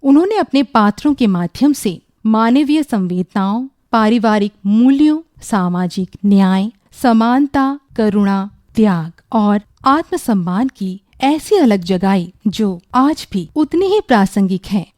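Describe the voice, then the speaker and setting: A woman's voice: mid-range voice, animated, a little slow, hardly any pauses, a little mellow, no echo, clean studio sound. A woman announcing a radio drama in formal scripted narration with a solemn, literary delivery.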